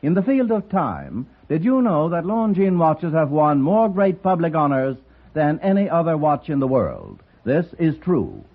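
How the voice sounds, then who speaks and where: A tinny vintage radio recording carries a male American commercial announcer. His fairly high voice moves expressively through a polished, formal, deliberate scripted pitch. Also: wildly swooping pitch. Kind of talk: 1950s radio advertisement, scripted.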